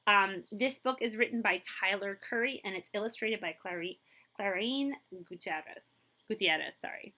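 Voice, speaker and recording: A woman librarian reading a picture book aloud to children in an expressive way, with drawn-out emphasis and a habit of addressing her listeners. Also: mid-range voice. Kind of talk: children's read-aloud storytelling with asides to listeners.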